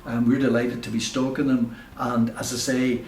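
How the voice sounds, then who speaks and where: A man presenting an informal, unscripted product review as a shop presenter, speaking in a hesitant, halting way. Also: medium pitch, flat delivery, a little mellow. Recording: echoey room, distant mic, faint noise.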